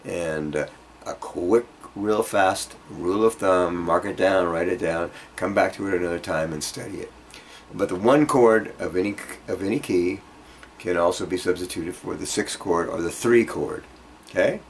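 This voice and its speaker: A man's voice: sits low, moderate pace, a little hiss or hum. A man giving an informal guitar lesson, rambling and thinking aloud in a self-deprecating way as he explains. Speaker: guitar teacher. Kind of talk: informal music workshop lesson. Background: acoustic guitar being played.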